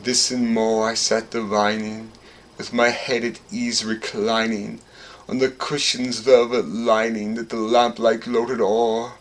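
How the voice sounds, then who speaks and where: A man's voice: on the low side, level tone, a little slow. A man in his thirties giving a theatrical, intense recitation of gothic horror verse in a living room. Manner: melodramatic, intense, swinging from hushed to shouted. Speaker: performer reciting a poem. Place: carpeted basement living room with low tiled ceiling.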